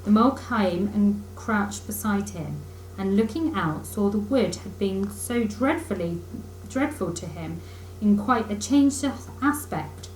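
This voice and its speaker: Animated woman reading a storybook. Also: mid-range voice, a little slow. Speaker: teacher reading a children's book aloud. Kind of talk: expressive storybook narration. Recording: echoey room with faint noise.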